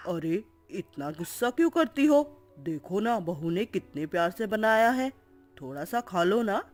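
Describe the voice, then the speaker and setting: A kindly, easygoing father-in-law with a lowered voice, gentle and coaxing, as a woman puts him on. His slow, unhurried lines sit over soft background music. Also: big pitch swings. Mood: genial.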